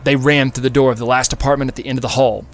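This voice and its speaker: Man, medium-pitched voice, dramatic audiobook narration, scripted fiction, music in the background. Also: level tone, racing.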